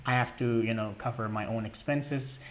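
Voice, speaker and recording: A young man hosting a live question-and-answer stream, reading viewer questions aloud and answering in a halting way with many fillers. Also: medium pitch, fairly even intonation, a little hiss or hum. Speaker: livestream host answering scholarship questions.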